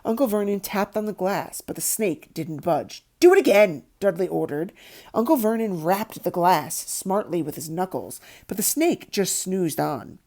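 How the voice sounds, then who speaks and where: A woman reads a storybook aloud as narrator. Her voice is soft-edged and mellow, and her pitch moves around a lot as she tells it expressively. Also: animated, unhurried. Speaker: audiobook narrator.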